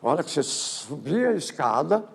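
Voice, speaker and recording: An older man presents a history talk at a physics colloquium. His voice is gravelly and a little husky, sitting somewhat high for a man, and it swings up and down in a lively way. He stumbles over words and repeats himself as he moves through his slides. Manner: halting, with many repeated words and false starts. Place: lecture auditorium.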